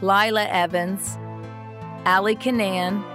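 A woman reads as a narrator at an unhurried, slow pace. Her voice sits at a middle pitch, and her delivery is scripted, measured and reverent, as for a Bible story. Faint music plays behind her. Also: fairly even intonation, fairly bright tone.

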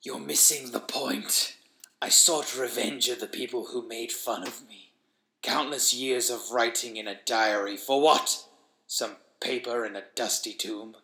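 Slow, wheezing, croaking sinister creature. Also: male voice, mid-range voice, steady tone, bright, forceful tone, echoey room. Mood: bitter, vengeful. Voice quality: croaking, wheezing, crackling, breathy rasp. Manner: slow, labored, menacing speech. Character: sinister, vengeful hollow-eyed creature lurking in a chapel. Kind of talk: horror storybook character voice.

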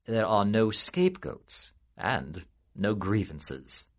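A man doing a weary, gentle angel. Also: fairly low pitch, heavily acted. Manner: calm, measured, softly solemn. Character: weary, patient male angel, solemn and gentle.

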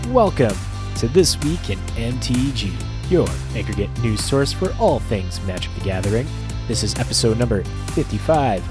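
A man hosting a podcast in a casual, joking way. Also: mid-range voice. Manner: laid-back, joking, searches for words. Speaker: podcast host.

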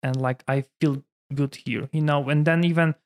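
A man in his thirties from Poland, a priest speaking English with a Polish accent in a relaxed conversation, full of "you know" fillers. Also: on the high side, moderate pace. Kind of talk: casual conversation.

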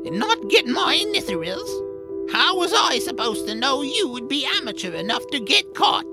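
A woman voicing a sly, haughty old crone witch in an audio drama, with a drawn-out, theatrical delivery. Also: high-pitched, very animated, over loud music. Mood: haughty, knowing. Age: older.

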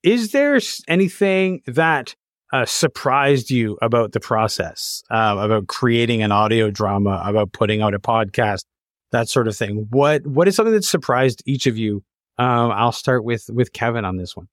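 A man hosting a conversational podcast interview, halting as he searches for words. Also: medium pitch, dry recording, clean studio sound.

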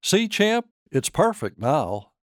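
A man acts out an earnest, fond father in an audio story. His speech is animated, with a lift above plain narration, and the sound is close and dry. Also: on the high side, slow pace, no room sound, voice pushed up. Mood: fatherly, gentle.

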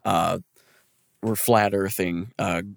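Male podcast co-host, low register, dry close sound. Casual game explainer, wry, happy to swear. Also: fairly even intonation, takes it slowly, gravelly, dry recording. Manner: wry, sweary, hesitant phrasing. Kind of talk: casual chat, explanatory commentary.

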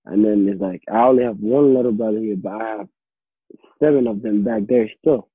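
Young man, interviewee, halting and casual, husky, heard over a phone line. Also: lowish voice, sing-song, no echo. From Somalia. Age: late teens. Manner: halting, repeats words while searching for them. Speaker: interviewee, high school student. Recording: dry, tinny phone or call line.

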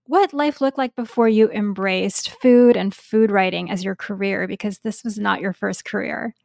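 A woman's voice: medium pitch, normal speed, gravelly, darkish tone, no echo, clean studio sound. A woman hosting a food podcast, reading an introduction and then chatting eagerly with her guest. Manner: upbeat and eager. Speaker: podcast host. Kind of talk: scripted introduction and casual interview.

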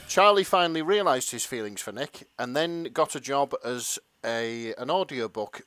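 Man, laughing co-host, casual chat, dry close sound, medium pitch. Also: expressive, unhurried, a bit bright, smooth, no echo. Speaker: podcast co-host.

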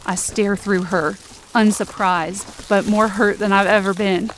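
A woman's voice: medium pitch, flat delivery, measured pace, a little hiss or hum, natural voice, low-quality recording. A woman playing a wounded, angry, defiant young woman, narrating in the first person with intense feeling in an audio drama. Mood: hurt, resolute. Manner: intense, emotive.

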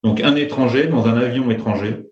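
A man with a French accent telling flying stories in conversation, pausing often with fillers and restarts. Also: medium pitch, darkish tone. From France. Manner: hesitant, many fillers and restarts. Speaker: private pilot guest. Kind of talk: conversational storytelling. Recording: roomy, dull lo-fi sound.

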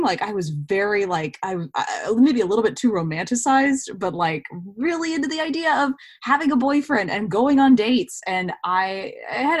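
A woman speaking casually as an actor being interviewed, telling stories and voicing her own thoughts as quotes. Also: mid-range voice.

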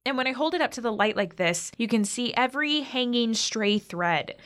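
An actress playing a self-conscious, anxious young eldest sister with an American accent, in a naturalistic modern audio drama. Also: female voice, on the high side, expressive, normal speed, a bit bright. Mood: exasperated. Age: young adult. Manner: self-deprecating, earnest.

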